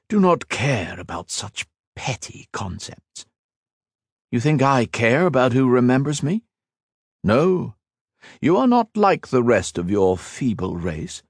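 A contemptuous immortal being from the stars speaks with slow, deliberate scorn, as a man puts him on for an audiobook. His pitch rises and falls widely as he lectures. The sound is dry and close. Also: no room sound. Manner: haughty, lofty, lecturing. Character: ancient arrogant male cosmic being, cold and contemptuous.

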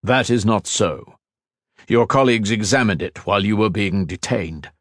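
A man voicing a stern male council leader with a deep baritone, speaking in a firm, cutting tone. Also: normal speed, no room sound, clean studio sound, lightly acted.

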